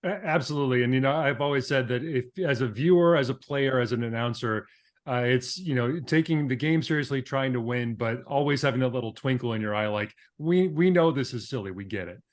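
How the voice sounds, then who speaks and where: A man's voice: mid-range voice, brisk. A man hosting a podcast, interviewing in a casual but wordy, articulate way.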